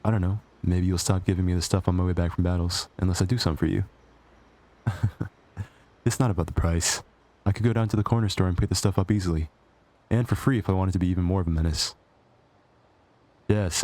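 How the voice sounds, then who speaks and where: A man playing a cocky, possessive, sarcastic supervillain with a soft spot, in an intimate ASMR roleplay with a teasing, conversational delivery. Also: low-pitched, steady tone, fast, long pauses, close to an everyday voice. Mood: wry, teasing.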